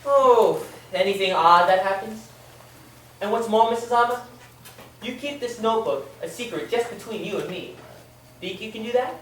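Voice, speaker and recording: Radio-play police officer played by a woman, calm and probing, very reverberant, set back from the mic. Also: medium pitch, moderate pace, long pauses, close to an everyday voice. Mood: reassuring, instructing. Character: calm, attentive policeman questioning a witness. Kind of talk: amateur old-time radio play acting. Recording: echoey, distant, with hiss.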